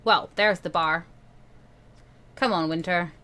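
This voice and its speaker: A woman voicing a calm, stern older male mentor in a visual novel, measured and gently admonishing. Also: mid-range voice, clean tone, voice pushed down. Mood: matter-of-fact.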